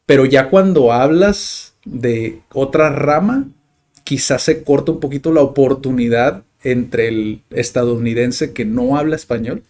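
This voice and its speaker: A man's voice: medium pitch, unhurried, a little mellow. A young man hosting a podcast, chatting casually and loosely, with frequent fillers and restarts. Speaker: podcast host. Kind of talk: casual conversational interview.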